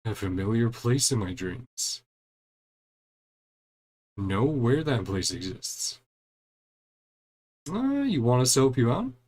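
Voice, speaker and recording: A man's voice: mid-range voice, very animated, slow pace, long pauses, a little mellow. A man giving casual commentary on a game as he plays, musing aloud and reading bits of dialogue. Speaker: gaming streamer.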